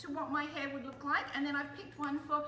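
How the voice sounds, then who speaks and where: A woman co-hosting with a bubbly, animated chatty manner in a TV studio. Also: high voice, roomy sound, slight background noise. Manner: bubbly, animated, quick to laugh. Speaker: co-host. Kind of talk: casual chat. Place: bright TV studio set.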